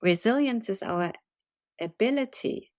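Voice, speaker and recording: A woman from Germany, a resilience trainer, giving earnest, measured answers in a podcast interview. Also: fairly high pitch, lively intonation, dry recording, clean studio sound. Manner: earnest, measured, gently emphatic. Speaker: resilience and leadership trainer, guest.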